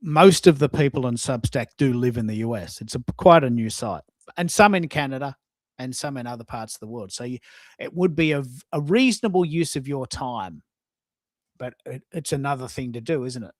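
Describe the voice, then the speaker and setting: A man's voice: mid-range voice, expressive, moderate pace, no room sound. Aussie guy hosting a live coaching chat, really leans on his words, dry room sound. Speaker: host and social media coach. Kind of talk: live coaching talk show.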